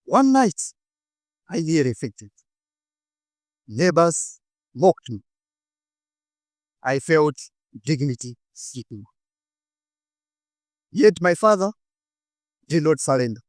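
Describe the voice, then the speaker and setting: A young Malawian man with a Malawian English accent gives a rehearsed inspirational talk on stage, cutting his lines into short phrases with dramatic pauses. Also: a little high, very animated, no room sound, clean studio sound. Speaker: speaker giving a stage talk.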